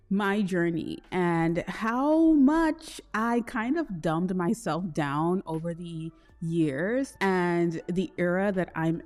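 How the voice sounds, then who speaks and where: A woman from Trinidad hosting a solo podcast, talking in a chatty, expressive way with slangy asides. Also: fairly low pitch. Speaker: podcast host. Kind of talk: solo podcast, casual self-reflection.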